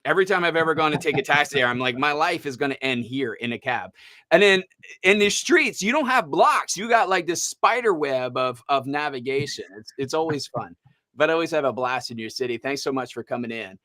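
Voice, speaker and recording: A man hosting a casual live stream, joking around and greeting viewers by name in an animated way. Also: fairly high pitch, brisk, no room sound. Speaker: live-show host.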